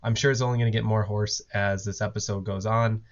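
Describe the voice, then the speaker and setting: A man hosting a casual solo fan podcast, his voice hoarse from a cough, talking in a rambling way with lots of fillers and restarts. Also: lowish voice, fairly even intonation, fairly quick, muffled recording. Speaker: podcast host. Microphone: desk mic, on video.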